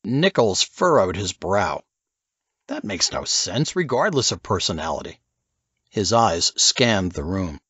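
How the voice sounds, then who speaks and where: A man's voice: low-pitched, sing-song, a little slow, fairly bright tone, slightly rough, dry recording, highs cut off. A man narrating scripted fiction as an audiobook reader.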